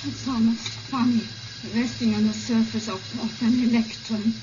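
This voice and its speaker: A woman playing a tender, devoted wife in a 1950s radio drama, with earnest, halting dramatic delivery. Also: on the high side, flat delivery, natural voice. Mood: tender resigned. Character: tender, devoted young wife facing death. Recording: muffled, slightly noisy old radio recording.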